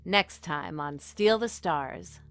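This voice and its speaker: A woman announcer giving a measured, scripted read of drama credits. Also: lowish voice, faint music behind.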